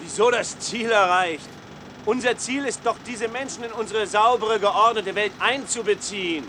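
An actor playing a naive, idealistic scientist in a German science-fiction radio play, questioning and earnest, with an unsettled manner. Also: male voice, high voice, moderate pace, sharp tone, slight background noise. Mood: puzzled. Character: naive, idealistic male scientist, troubled and well-meaning.

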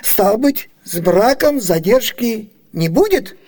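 An actor playing an excitable, bossy old Venetian merchant father in a radio comedy, with fussy, exclamatory comic delivery. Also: high-pitched, slow, heavily acted. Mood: gleeful, impatient. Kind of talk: Soviet radio theatre comedy acting.

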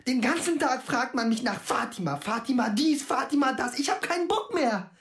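A tired, tipsy, wry son. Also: male voice, extremely high pitch, animated, normal speed, fairly bright tone, echoey room, full character voice. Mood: weary. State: slightly drunk. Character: tired, tipsy young adult son, dry-witted. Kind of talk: radio drama acting.

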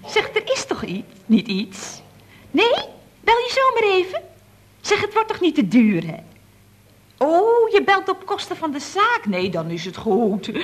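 Breezy, husky young secretary, swooping intonation. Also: female voice, sits high, fairly bright tone, full character voice. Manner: gushing, chatty. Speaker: actress in a radio comedy serial. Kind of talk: Dutch radio comedy serial acting, around 1970.

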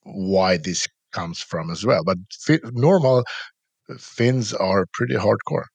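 A man's voice: deep voice, expressive, slow pace, vocal fry, husky, no echo. A man from Sweden, a podcast host, chatting casually with teasing asides. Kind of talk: casual chat.

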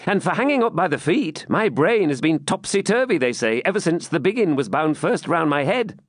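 A man's voice: sing-song, dry recording, clean studio sound. A man voicing a witty young medieval jester in a pert, raised voice. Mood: cheeky. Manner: quick, pert, raised pitch.

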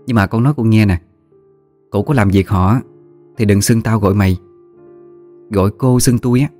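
A man's voice: on the low side, normal speed, darkish tone, close to an everyday voice. Storybook young woman read by a man: Southern Vietnamese, admiring, talkative, politely advising elders, light background music. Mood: fond advising. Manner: eager, chatty, respectful. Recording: music behind the voice.

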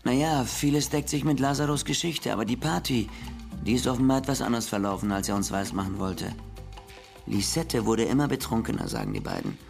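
An actor playing a police detective in a German radio crime drama, questioning methodically with a dry, needling confidence. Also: male voice, medium pitch, expressive, fairly quick, creaky, soft background music. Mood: matter-of-fact. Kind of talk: German radio play acting, police interrogation.

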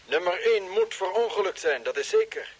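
An actor playing a dutiful, worried base radio operator in an old radio drama, deferential and a little hesitant, with a husky voice. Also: male voice, high voice, lively intonation, brisk. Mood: respectful, worried.

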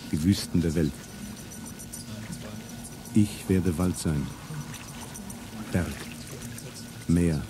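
Deep-voiced, defiant rebel. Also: male voice. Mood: solemn, exalted. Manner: grave, declamatory. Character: defiant rebel man, grim, declaring war on slaveholders. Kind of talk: solemn declamatory German radio play monologue. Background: music.

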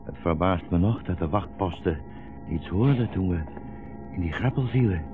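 A man's voice: low voice, expressive, moderate pace, over loud music. A man playing an old blind rabbi, weary and sardonic, with ironic, rhetorical turns, in a 1960s radio drama. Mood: weary, wry. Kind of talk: 1960s radio play acting. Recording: muffled, noisy 1960s radio recording.

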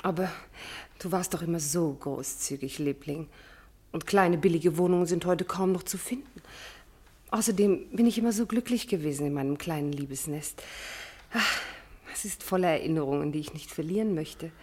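Radio drama casting: a husky-voiced spurned mistress, wheedling yet proud, theatrical and pointed. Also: female voice, sits low, normal speed, darkish tone. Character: scorned mistress Isabel, needy, proud and spiteful. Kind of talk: radio play acting.

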